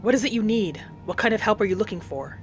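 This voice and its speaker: A woman's voice: mid-range voice, animated, fast, over music. A woman giving in-character commentary on a roleplay game, musing and asking questions as she goes. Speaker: gamer, host of roleplay playthrough.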